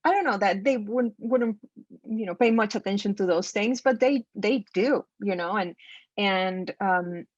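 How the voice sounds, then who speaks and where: A woman from Costa Rica with a Spanish accent, a design professor speaking thoughtfully and haltingly on a video call panel. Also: mid-range voice, no room sound. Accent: Costa Rican Spanish. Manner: thoughtful, halting, many fillers and restarts. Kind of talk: roundtable discussion. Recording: dull lo-fi video call audio.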